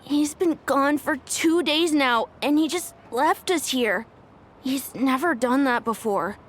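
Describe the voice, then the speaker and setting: A woman's voice: sits high, a little hiss or hum. A woman voicing a worried, innocent child in a video game, hesitant and earnest. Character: worried, innocent child troubled about an uncle.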